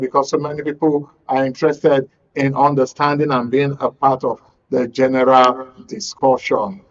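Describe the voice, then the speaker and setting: A man with a Nigerian accent hosting a current affairs programme in a studio, speaking in a measured, formal, wordy way. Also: medium pitch. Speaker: radio and TV host. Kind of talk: current affairs show introduction. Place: radio and TV studio. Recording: dull, band-limited broadcast audio.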